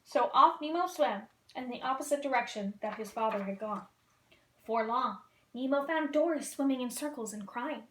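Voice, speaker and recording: A woman reading a children's picture book aloud as a costumed storyteller, in a lively, expressive way. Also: high-pitched, normal speed. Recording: roomy echo.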